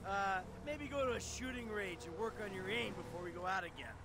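An actor playing a sarcastic, hot-tempered middle-aged American criminal in a video game, profane and explosive. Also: male voice, high voice, a little fast, background noise. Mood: calmer, weary.

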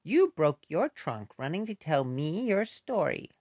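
Woman voicing a curious piglet friend; very animated, unhurried. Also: sits low, husky, no echo, clean studio sound, exaggerated voice. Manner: curious, wide-ranging questioning voice. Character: eager little pig friend. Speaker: reader voicing the pig. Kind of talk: picture book read-aloud.

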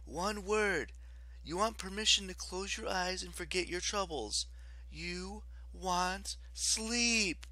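A man voicing a persuasive young girl in an audiobook, coaxing with kind, soothing, measured sympathy. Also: sits high, sharp tone, dry recording, pitched above the performer's own voice, exaggerated voice, poor audio. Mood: hypnotic coaxing. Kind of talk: audiobook character acting.

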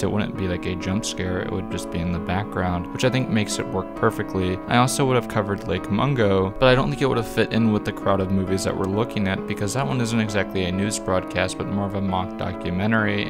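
A man narrating a scripted video essay as its presenter. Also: on the low side.

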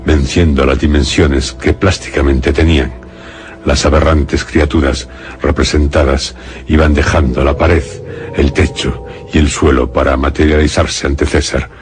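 A man narrating a suspense radio drama in a deliberate, solemn, dramatic way. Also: very deep voice, steady tone, a little hiss or hum. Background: faint music.

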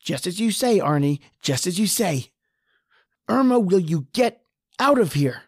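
A man's voice: sits high, sing-song, a little slow, husky, no room sound, studio quality, heavily acted. A man playing a desperate, injured husband trapped underground in a horror radio drama. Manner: pleading, ragged, then defiant.

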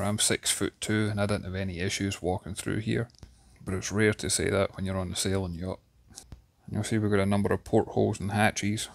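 A man with a Scottish accent, in his thirties, giving a steady, detailed walkthrough of a yacht's interior as a reviewer. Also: on the low side, gravelly, dry recording. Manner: steady, descriptive, enthusiastic about details. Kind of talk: guided video tour narration. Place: inside a yacht cabin.

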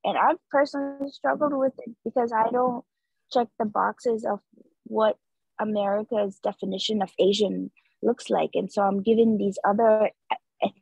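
Guest: young Nepal-born woman, dark husky tone, earnest and chatty on a dull video call. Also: mid-range voice, steady tone, moderate pace, no echo. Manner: earnest, many filler likes. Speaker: interview guest, street artist. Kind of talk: casual reflective conversation. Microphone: video call laptop mic.

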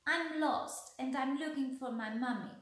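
A woman's voice: lively intonation, a little slow. A woman doing a tiny, plaintive lost duckling. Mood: worried. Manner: high, plaintive childlike. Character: small lost female duckling, timid. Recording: very echoey room.

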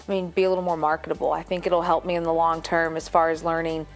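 A woman's voice: sits low, flat delivery, fast talker. A woman in her twenties from Oklahoma, an incoming law student, chatting in an interview. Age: 20s.

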